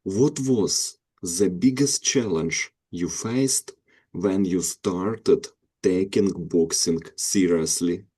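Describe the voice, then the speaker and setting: A man hosting his channel, reading prepared interview questions slowly and carefully. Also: deep voice, warm tone, slightly rough.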